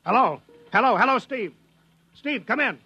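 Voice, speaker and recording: A middle-aged man acting a gruff, anxious, guilt-ridden rocket program boss in a 1950s radio drama, speaking with urgent emphasis. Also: very high-pitched, animated, normal speed, pushed and bright, full character voice. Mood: urgent, alarmed. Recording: dull 1950s radio recording.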